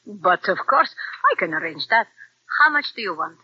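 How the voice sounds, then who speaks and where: A woman's voice: medium pitch, very animated, moderate pace, husky, no echo. An actress playing a scheming woman fascist agent with coaxing, formal foreign phrasing, in an old radio drama. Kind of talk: 1940s radio acting. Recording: dull old radio recording.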